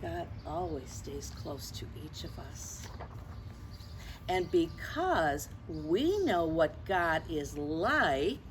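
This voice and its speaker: Older woman, children's storyteller, unhurried pace, gentle expressive delivery, clear ambient noise behind her. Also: deep voice. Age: 60s or older. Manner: gentle, expressive, addressing children with tag questions. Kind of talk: picture book read-aloud to children with gentle asides.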